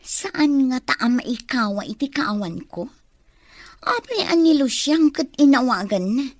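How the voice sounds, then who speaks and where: An actress playing an impatient, jealous, spoiled daughter in an Ilocano radio comedy drama, whiny and complaining. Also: female voice, high voice, big pitch swings, measured pace, dry recording, full character voice.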